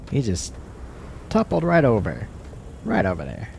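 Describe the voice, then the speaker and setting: Slow, soft-spoken man streaming games. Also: lowish voice, a little hiss or hum. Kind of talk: relaxed ASMR gaming livestream. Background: game music.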